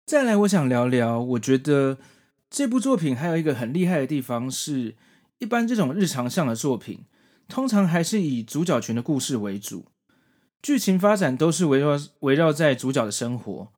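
Male podcast host, forties, Taiwanese Mandarin accent. Conversational reviewer with a very varied, lively pitch. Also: normal speed. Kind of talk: solo manga review, conversational narration.

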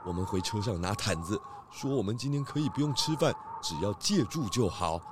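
A man playing a calm, shrewd pig police chief who asks measured, probing questions in a children's audio drama. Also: fairly low pitch, background noise, lightly acted. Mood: decisive.

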